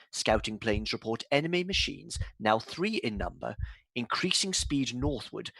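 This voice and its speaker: A man playing a steady radio announcer, dry close sound. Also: mid-range voice, no room sound, poor audio. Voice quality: even, measured bulletin delivery. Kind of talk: scripted bulletin reading.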